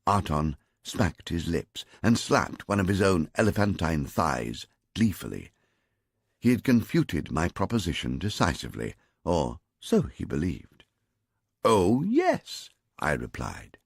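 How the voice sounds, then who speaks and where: A man narrating an audiobook in the first person, measured and wry. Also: a bass voice, very animated, husky, no room sound, studio quality. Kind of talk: scripted narration.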